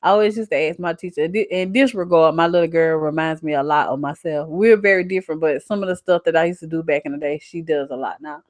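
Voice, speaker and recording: Lower-pitched Southern woman, chatting casually. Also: quick pace, few pauses, no echo, clean studio sound. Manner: folksy, colloquial, emphatic. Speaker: channel host. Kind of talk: casual livestream chat reading out viewer comments.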